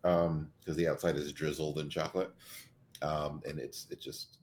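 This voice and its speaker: A man co-hosting a podcast, chatting in a relaxed way with many fillers and easy laughs. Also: rumbling low, moderate pace, slight background noise. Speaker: podcast co-host. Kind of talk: casual chat.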